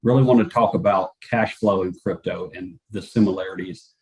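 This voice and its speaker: Low-voiced man hosting an interview. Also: normal speed, poor audio. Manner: relaxed, hesitant with fillers. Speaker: host. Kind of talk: casual interview.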